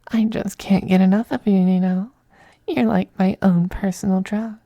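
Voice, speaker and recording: A performer voicing a possessive, androgynous male vampire master in an ASMR roleplay, soft, breathy and often whispering, coaxing and condescending. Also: mid-range voice, steady tone, no echo, muffled recording, close to an everyday voice. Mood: doting, possessive. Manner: slow, purring, coaxing, condescending. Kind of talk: ASMR roleplay, intimate scripted monologue.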